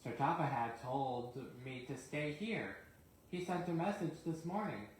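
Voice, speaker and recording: A man's voice: on the high side, animated, unhurried. A proud, smug steam engine from a children's storybook, read by a man. He is a grumbler who thinks well of himself. The recording is very echoey, with faint noise. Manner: smug, self-satisfied.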